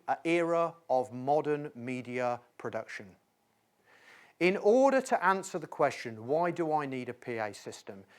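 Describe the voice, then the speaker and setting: A middle-aged man explaining things as an instructor in a video tutorial, with a measured, emphatic, articulate delivery. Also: medium pitch. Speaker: instructor, presenter.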